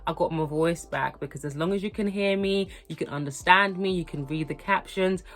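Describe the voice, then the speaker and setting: A young woman, hoarse from a cold, talking earnestly and encouragingly to her viewers in a motivational vlog. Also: lowish voice, fairly quick, a bit bright. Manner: earnest, encouraging, repetitive emphasis. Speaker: lifestyle vlogger. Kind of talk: motivational talk to camera.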